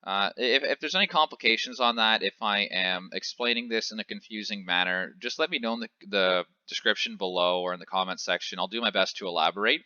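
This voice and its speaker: A young man from Canada talks through money basics in a loose, conversational way, often pausing on fillers and correcting himself. His voice sits on the low side yet sounds very bright and forward. The recording is dry with a slightly dull, lo-fi sound. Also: clean tone, no echo. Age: 20s. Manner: chatty, lots of filler "uh" and self-corrections. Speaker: finance educator. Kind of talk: casual explainer tutorial.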